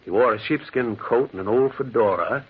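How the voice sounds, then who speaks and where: A man's voice: mid-range voice, brisk, lightly acted. An actor playing a lonely man who lives alone by the railroad tracks, narrating and acting in a brooding, dramatic 1940s radio drama style. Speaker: narrator and lead character. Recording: lo-fi old radio recording with faint hiss.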